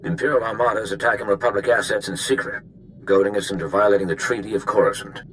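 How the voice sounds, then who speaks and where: An earnest male flight commander played by an actor briefs in a low, formal military manner. It is sci-fi game dialogue with faint noise behind the voice. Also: steady tone, quick pace, fairly bright tone, close to an everyday voice, poor audio. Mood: earnest, official. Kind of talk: video game character acting.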